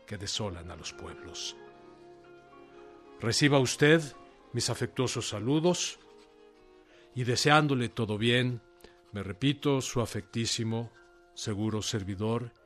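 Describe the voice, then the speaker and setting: An actor playing a proud, earnest revolutionary peasant leader, declaiming a formal letter with fervor in a radio drama. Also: male voice, on the low side, fairly bright tone. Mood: fervent. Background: music.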